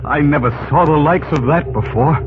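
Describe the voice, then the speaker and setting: An actor playing a commanding, watchful expedition captain in an old-time radio drama. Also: male voice, fairly high pitch, moderate pace, background noise, lightly acted. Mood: wondering. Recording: tinny old radio recording, music behind.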